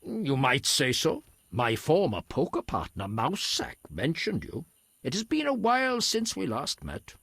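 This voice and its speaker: Serene druid, slow husky lilting delivery. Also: male voice, on the high side, wildly swooping pitch, no echo, clean studio sound, exaggerated voice. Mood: wry. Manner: unhurried, gentle, formal. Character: serene, courteous male druid elder, lover of nature. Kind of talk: video game dialogue acting.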